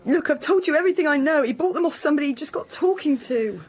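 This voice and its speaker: A young woman, a student, in an emotional radio drama. Her voice is high, upset and defensive. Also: expressive, quick pace. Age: young adult. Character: distraught, defensive young female student, bereaved girlfriend.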